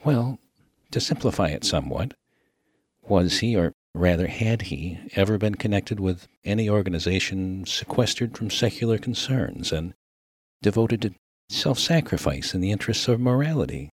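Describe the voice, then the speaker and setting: A man's voice: fairly low pitch, expressive, normal speed, no echo, studio quality. A man voicing a refined, mild and earnest clergyman, formal and wordy, in a humorous story. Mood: careful, patient. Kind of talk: dramatic reading of a humorous story.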